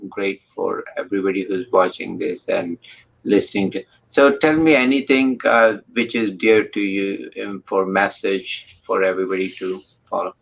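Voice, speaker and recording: A man hosting an interview, speaking slowly and haltingly with many fillers. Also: low voice, vocal fry, low-quality recording. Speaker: interview host. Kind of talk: casual interview talk.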